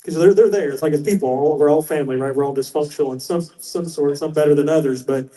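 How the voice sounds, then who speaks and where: Male moderator, very dark mellow tone, slightly higher pitch, rambling questions. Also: fast, rough recording. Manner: rambling, conversational. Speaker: panel moderator, former radio host. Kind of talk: interview questions.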